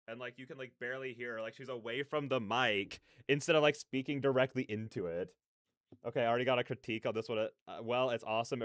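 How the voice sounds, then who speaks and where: A man hosting a reaction video, giving casual, slangy and excitable commentary. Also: mid-range voice, smooth, no room sound, studio quality.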